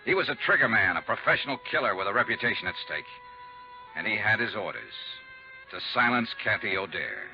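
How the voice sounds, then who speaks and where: Low-voiced hard-boiled American radio detective. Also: male voice, lively intonation, faint music behind. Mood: grim, urgent. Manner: terse, measured, hard-boiled delivery. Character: steady, world-weary male investigator narrating a manhunt. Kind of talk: 1950s radio detective drama acting and narration. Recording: muffled, noisy 1950s radio recording.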